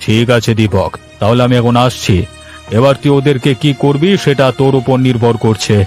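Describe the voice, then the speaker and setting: A man voicing an authoritative, grave village headman in a cartoon, deliberate and familiar in his talk. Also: mid-range voice, darkish tone, faint music behind, pitched below the performer's own voice, lightly acted.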